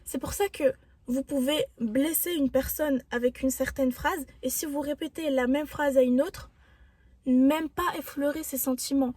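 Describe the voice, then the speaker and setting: A young woman with a high, soft and mellow voice talks straight to her viewers. She gives self-help advice in an earnest, reflective way. Her tone stays encouraging throughout. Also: steady tone, measured pace, no echo. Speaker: vlogger. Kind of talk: motivational self-help talk.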